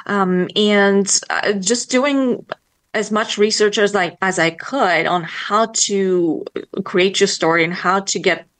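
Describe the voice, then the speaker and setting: A woman's voice: mid-range voice, steady tone, slow pace, a bit bright. A woman for whom English is not her first language, answering interview questions about writing in a hesitant way with many fillers and restarts. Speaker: children's book author, guest.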